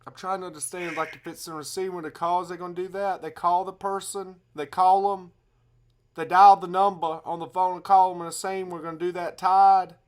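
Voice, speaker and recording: A man's voice: high voice, flat delivery, moderate pace, lightly acted. A man with a Southern US accent playing a folksy, muddled hotel guest who rambles in double-talk on a prank phone call. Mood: insistent, muddled. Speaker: prank caller.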